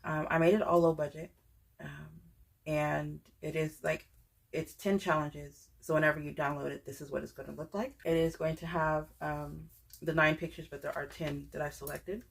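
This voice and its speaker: Low gravelly-voiced chatty woman. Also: level tone, normal speed. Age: 30s. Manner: rambling, chatty, many fillers and restarts. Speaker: budgeting channel creator. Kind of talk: casual chat showing products.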